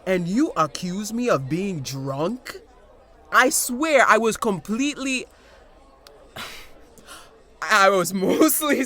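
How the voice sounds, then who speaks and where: A man playing an excitable, shy young partygoer, recounting an eerie encounter in a halting, conversational audio-drama monologue. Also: sits high, exaggerated voice. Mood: defensive, amused. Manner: animated, halting, dramatic pauses, self-interrupting.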